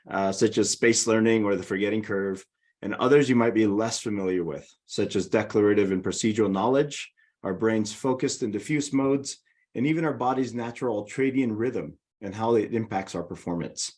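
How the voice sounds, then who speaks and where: A man's voice: fairly low pitch, moderate pace, darkish tone. A middle-aged man with a Hawaiian accent, presenting a webinar over a video call in a friendly, organized way. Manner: friendly, organized, walks through slides.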